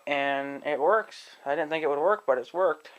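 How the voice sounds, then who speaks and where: A man giving a casual, rambling demonstration to viewers, halting and thinking aloud as he talks. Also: a little high, dull audio. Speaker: amateur video creator demonstrating an app. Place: indoors in own room at home.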